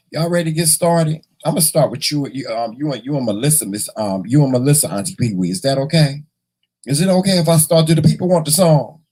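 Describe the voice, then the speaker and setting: A hoarse man hosting a casual morning livestream, chatting and teasing his viewers in a folksy way. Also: mid-range voice, a little mellow. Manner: folksy, teasing, talks to the chat; repeats words and restarts often. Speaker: morning show host.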